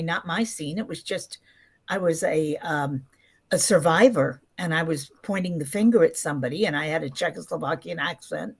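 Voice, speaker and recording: A woman in her seventies telling stories casually as an interview guest, emphatic and often acting out what people said. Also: on the low side. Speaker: podcast guest, actress.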